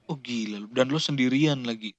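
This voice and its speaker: A man's voice: medium pitch, a little fast, muffled recording, crowd noise. A man hosting a podcast interview. He chats casually, exclaims often and laughs a lot. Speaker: podcast host.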